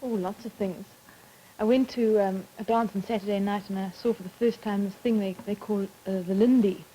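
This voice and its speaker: Young girl, mellow low-key voice, quick short answers, light hiss behind. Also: steady tone, rough recording. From South Africa. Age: late teens. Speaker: student delegate.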